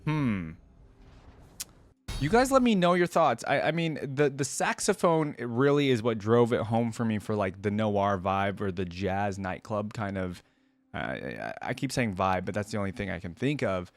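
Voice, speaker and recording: A man's voice: lowish voice, animated, moderate pace. A man hosting a reaction video, talking casually and directly to viewers in a rambling, conversational way and often hedging his opinions.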